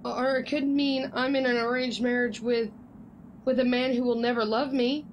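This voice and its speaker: A woman's voice: a little high, level tone, normal speed, slight background noise, lightly acted. A woman playing a guarded, lovelorn young bride-to-be in an audio drama. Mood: hurt, resigned.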